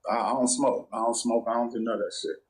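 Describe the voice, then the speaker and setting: A man's voice: medium pitch, steady tone, fast talker. A man in casual chat, laid-back and evasive.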